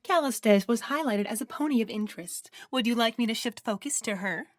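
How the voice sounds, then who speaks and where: A woman voices a composed female assistant in an audio drama. Her voice is a bit high, and her phrasing is polite, formal and measured. The sound is dry, with no room echo. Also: natural voice. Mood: neutral, helpful.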